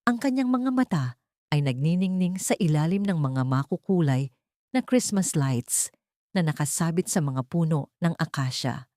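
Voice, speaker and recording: A woman's voice: low voice, lively intonation, moderate pace, dark tone, no room sound, clean studio sound. A woman with a Filipino accent reading a scripted dramatic audio story as its narrator.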